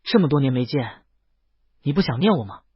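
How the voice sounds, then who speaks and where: A woman's voice: extremely low pitch, expressive, a little fast, husky, no room sound, highs cut off, pitched below the performer's own voice, exaggerated voice. A woman voicing theatrical palace figures, such as courtiers and a prince, in a fairy-tale story. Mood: wooing.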